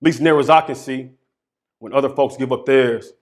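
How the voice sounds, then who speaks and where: A young man performing his poetry aloud, chanting repeated phrases with sweeping swings from hushed murmurs to shouts. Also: medium pitch, moderate pace. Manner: rhythmic, incantatory delivery with chanted repetitions. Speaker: poet.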